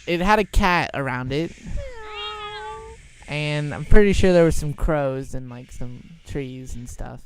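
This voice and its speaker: A young man telling an improvised story in a halting, informal way. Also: a little high, a little hiss or hum. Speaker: storyteller, show host.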